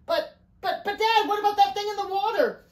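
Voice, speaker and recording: A woman's voice: high voice, huge pitch swings, brisk. A woman reading a storybook as a frightened but brave teenage girl, with urgent delivery. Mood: alarmed. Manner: shouting, urgent questions. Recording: roomy, echoing space.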